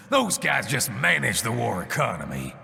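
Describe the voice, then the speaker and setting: An actor playing a sadistic, warmongering cyborg mercenary in a game cutscene, gravelly, taunting and theatrical. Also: male voice, medium pitch, heavily acted. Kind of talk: video game cutscene acting. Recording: long hollow reverb.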